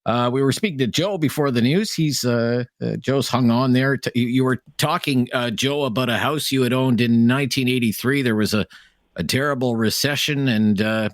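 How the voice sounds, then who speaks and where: A man hosts a call-in radio show in a brisk, conversational way. His mid-pitched voice sounds dry and close to the studio mic. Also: dry recording. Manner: brisk, conversational host banter. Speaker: radio talk show host. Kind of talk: talk radio hosting.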